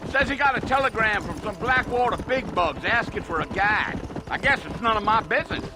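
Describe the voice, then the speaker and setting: A chatty cowboy guide with a very high, swooping voice and a folksy American Western drawl. He sounds like an Old West game character, with clear noise behind him. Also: animated, brisk. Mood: chatty, teasing. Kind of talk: video game dialogue.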